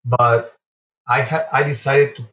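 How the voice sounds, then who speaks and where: Halting man in a roomy space. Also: medium pitch, level tone, fairly bright tone. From Guatemala and Venezuela. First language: Spanish. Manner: halting, pausing often mid-phrase. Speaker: interview guest, entrepreneur. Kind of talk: conversational interview answers. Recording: roomy echo, distant from mic.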